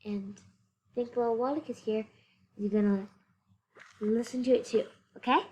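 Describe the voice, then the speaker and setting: A female voice reads a children's picture book aloud. The voice is high and the delivery is expressive, with short asides to explain the story. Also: normal speed. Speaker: storybook reader.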